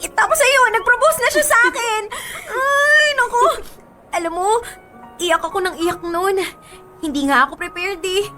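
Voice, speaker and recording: A woman's voice: very high voice, normal speed, pushed and bright, soft background music. An actress with a Filipino accent playing a giddy, girlish young bride-to-be in a radio drama, gushing to her friend. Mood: happy, gushing.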